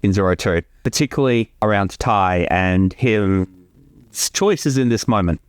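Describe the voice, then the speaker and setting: A man's voice: lowish voice, unhurried, clear, resonant tone, dry recording. A man giving casual creator commentary, chatty and explanatory, close on the mic. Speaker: commentary host, series creator.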